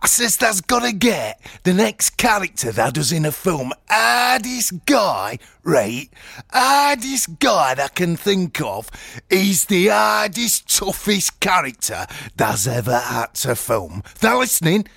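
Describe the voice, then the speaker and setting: A man playing a cocky Yorkshire film actor and self-styled hard man, telling a boastful anecdote in a blunt, indignant comic monologue, husky and gravelly. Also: high voice, very animated, slow, a bit bright, no room sound, clean studio sound, full character voice. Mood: cocky, menacing. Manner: blunt, indignant storytelling with quoted back-and-forth.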